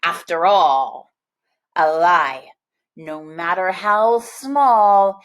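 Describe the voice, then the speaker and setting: A woman's voice: on the low side, drawn-out words. A woman voicing a vain, angry, scheming king in a children's storybook, imperious and dramatic. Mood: scheming rage.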